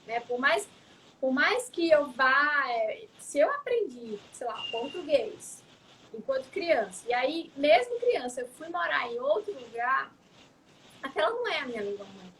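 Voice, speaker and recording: A woman's voice: high voice, sing-song, measured pace, a bit bright, a little hiss or hum, poor audio. A young adult woman, a psychologist, talking in a casual interview, reflective and often adding tag questions. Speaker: psychologist guest.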